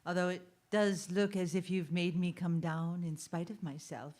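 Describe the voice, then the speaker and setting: A woman playing a genteel, polite lady in a Victorian radio mystery, with theatrical and sometimes halting speech. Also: fairly low pitch, steady tone, darkish tone, lightly acted. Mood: affected, polite. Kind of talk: radio drama acting.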